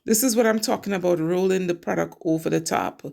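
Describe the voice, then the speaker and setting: A woman's voice: on the low side, fairly quick, gravelly, darkish tone. A middle-aged woman from Trinidad and Tobago, a nail technician chatting casually as she explains each step of her work. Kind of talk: casual nail tutorial narration.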